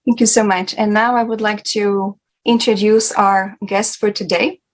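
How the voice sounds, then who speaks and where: A woman's voice: mid-range voice, level tone, normal speed. A woman co-hosting an international online discussion in a scripted presenting style.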